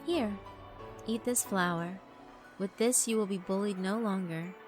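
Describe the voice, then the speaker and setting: A woman's voice: medium pitch, unhurried, music in the background, natural voice. A woman voicing a scheming yokai sister who taunts and gloats in a theatrical, cackling manner. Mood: taunting. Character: gleeful scheming yokai sister, cruel and mocking.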